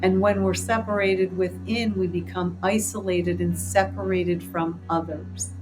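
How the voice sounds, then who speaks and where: A woman's voice: lowish voice, steady tone, measured pace, poor audio. Woman leading guided meditation over music. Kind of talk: guided meditation, speaking to a group. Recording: clear background music under the voice.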